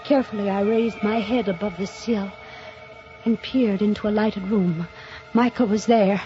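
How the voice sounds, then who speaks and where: Over a muffled old radio recording with music behind, an actress plays a jealous, obsessive woman telling her dark story. Her delivery is dramatic, deliberate and intense, in the manner of a mid-century radio thriller. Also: medium pitch, fairly even intonation, close to an everyday voice. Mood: brooding, tense. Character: obsessive, jealous woman mystery novelist turned killer, narrating. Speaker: lead actress and narrator. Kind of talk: 1950s radio mystery acting.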